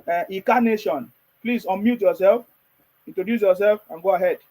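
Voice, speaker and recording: A man with a Nigerian accent moderates a political call-in show. His voice sits high for a man and has a rough, husky grain. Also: normal speed, a little mellow. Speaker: host of a live call-in discussion.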